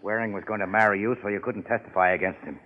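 Man as a rapid, menacing gangster boss. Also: on the low side, steady tone, close to an everyday voice. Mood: scornful, accusing. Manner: clipped, domineering. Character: curt, menacing male gangster boss, impatient. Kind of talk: 1950s radio crime drama acting. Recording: dull, lo-fi 1950s radio recording.

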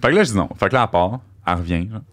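Fast-talking Québécois man, low voice. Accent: Québécois French. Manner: animated storyteller, acts out quoted dialogue. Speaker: podcast guest. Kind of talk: casual storytelling. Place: podcast studio. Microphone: studio mic on boom arm.

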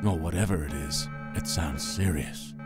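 Man acting deep, grim gangster. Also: moderate pace, loud music behind the voice. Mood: wary. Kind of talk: audio drama acting.

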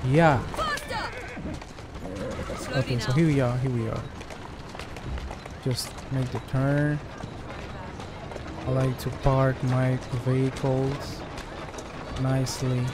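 A man's voice: medium pitch, background noise. A man whose first language is Portuguese, casually commenting while playing a game and grumbling at it. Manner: relaxed, chatty, quick to grumble at the game. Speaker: gameplay streamer. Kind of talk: casual let's-play commentary. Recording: game music playing behind.

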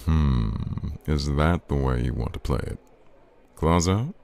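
An actor playing a suave, teasing, flirtatious man in an audio roleplay, smooth-talking and informal. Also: very deep voice, a little slow, a little mellow, full character voice. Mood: flirtatious, playful.

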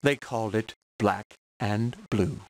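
A man narrating a children's story from a script in a measured read-aloud manner for young listeners. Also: fairly low pitch, very noisy.

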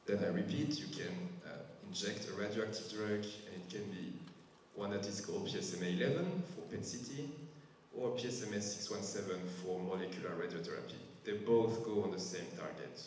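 A man in his thirties with a strong French accent, a doctor giving a slow, careful talk with many hesitations into a handheld microphone. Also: on the low side, darkish tone, a little hiss or hum. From France. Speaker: physician speaker. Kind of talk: medical talk to a patient group. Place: large church hall with a stage. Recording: echoey hall from far off.

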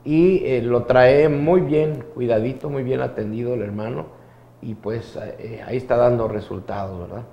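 A middle-aged man with a gravelly, medium-pitched voice co-anchors the news. His studio chat is casual, conversational and somewhat halting. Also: fairly even intonation, highs cut off.

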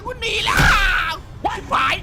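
Very high squeaky cartoon character, excitable, panicky, exclamatory, repeating words, loud music over the voice. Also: slow, heavily acted. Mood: panicked, annoyed. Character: excitable, easily panicked cartoon game character in toilet-monster war. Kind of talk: improvised comedic cartoon dub of a Minecraft animation.

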